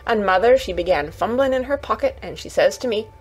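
A woman's voice: mid-range voice, expressive, fairly quick, a little mellow, husky. A woman voicing a cheerful young Yorkshire housemaid in a storybook, chatty and eager, with homely dialect and exclamations. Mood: eager, proud.

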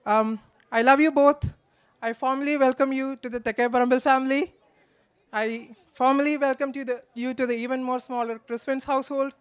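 A man gives a joking, affectionate, slightly halting wedding toast from notes into a handheld microphone. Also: very high-pitched, steady tone, a bit bright, smooth. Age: 20s. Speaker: best man, groom's brother. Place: wedding reception in a large draped marquee.

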